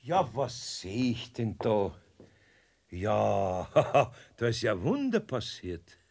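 An older Bavarian master craftsman with a low voice that rises and falls dramatically. He speaks in a folksy, drawn-out way, good-natured and gently teasing, as in a children's radio play. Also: sing-song, vocal fry, heavily acted. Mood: surprised.

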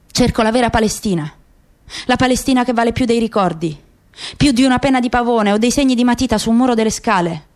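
A woman's voice: on the high side, natural voice. Woman voicing solemn, proud, disillusioned father.

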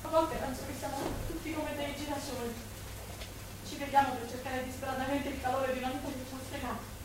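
A woman's voice: sits high, steady tone, fairly quick, far from the mic, lightly acted. An actress playing an emotional working-class Roman woman of the 1940s, a wistful, earthy storyteller in a stage drama. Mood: wistful, tearful. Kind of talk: stage drama acting, colloquial dialect. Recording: echoey stage from afar, noisy.

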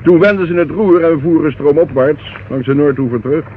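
An actor playing a shifty barge skipper in a radio drama, talking in an offhand, colloquial way. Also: male voice, fairly high pitch, a little fast, narrow, tinny audio, close to an everyday voice. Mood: casual. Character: shifty male barge skipper, casually feigning innocence. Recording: thin, noisy old radio recording.

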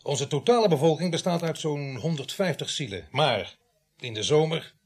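Gruff, husky-voiced village police sergeant. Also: male voice, fairly high pitch, animated, normal speed. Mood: dry, instructive. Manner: dry, gruff, sarcastic, hesitant asides. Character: grumbling, sardonic veteran village police sergeant. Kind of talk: radio drama acting.

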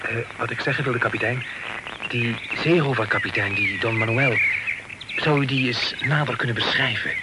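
An actor playing a fussy, sober husband who hesitates and stammers, in an old radio play with hiss. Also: male voice, mid-range voice, moderate pace, natural voice. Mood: hesitant.

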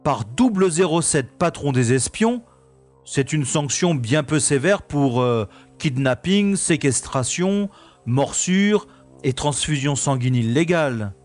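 A man playing a cocky, excitable special agent in a science-fiction audio drama, theatrical, easily outraged and flustered. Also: fairly high pitch, takes it slowly, faint music behind. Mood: indignant, wry. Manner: theatrical, indignant exclamations, flustered hesitations.

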